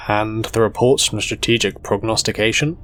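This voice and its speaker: Guy acting a loyal junior space navy officer, lowish voice, formal n respectful, music under it. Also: a little fast, a bit bright, natural voice. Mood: respectful, probing. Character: deferential, loyal male junior fleet officer. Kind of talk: dramatic audio story character. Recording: music behind the voice.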